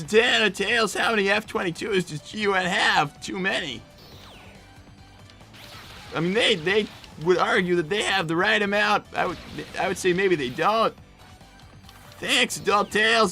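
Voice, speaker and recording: A man's voice: sits high, unhurried, long pauses, pushed and bright, faint music behind, exaggerated voice. A man voicing a defensive, flustered young male fox brother in improvised banter, stammering and exasperated as he hosts a live stream in character.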